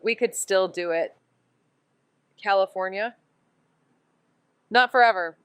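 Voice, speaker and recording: Casting: actress for wry, guarded woman detective, smooth clear voice, intimate dry sound, understated noir audio drama. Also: mid-range voice, slow pace, no room sound, lightly acted. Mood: tentative, longing. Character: wry, introspective, guarded woman amateur investigator. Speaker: actor in a neo-noir mystery podcast. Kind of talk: naturalistic audio drama dialogue and narration.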